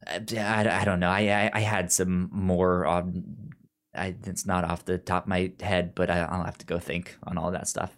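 A man's voice: sits low, little pitch movement, normal speed, fairly bright tone. Stammering man in casual podcast chat. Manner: stammering, repeats words and syllables often. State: short on sleep. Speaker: podcast co-host.

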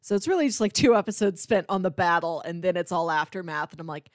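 A woman's voice: on the low side, quick pace, dry recording, clean studio sound. A woman co-hosting a podcast, chatting casually and tossing in joking asides. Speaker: podcast co-host.

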